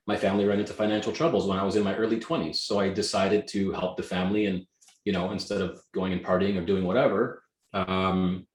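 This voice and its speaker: A Canadian-born man whose first language was Greek, talking energetically and emphatically as a guest in a casual interview. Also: fairly low pitch. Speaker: guest, real estate team leader. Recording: echoey room.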